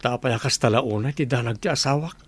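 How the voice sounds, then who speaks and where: A man's voice: medium pitch, quick pace, husky. An actor playing a troubled husband and father in a village radio drama, speaking in a weary, emotional way.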